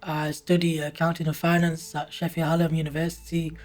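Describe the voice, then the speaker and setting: A man in his early twenties talking hesitantly in a documentary interview, with halting phrasing and frequent restarts. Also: on the high side, monotone, soft background music. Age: 20s. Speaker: interviewee, university student.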